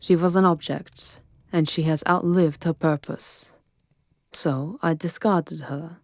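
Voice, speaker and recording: A woman's voice: low voice, fairly even intonation, darkish tone, dry recording, studio quality. A woman playing an ancient, possessive vampire lover in an intimate ASMR roleplay, haughty and often whispering. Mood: cold, dismissive. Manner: slow, breathy, haughty, often dropping to a whisper.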